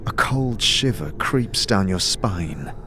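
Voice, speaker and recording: Lowish-voiced man narrating dark fantasy. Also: expressive, takes it slowly, a little hiss or hum. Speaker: narrator, game master. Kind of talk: dramatic scripted narration.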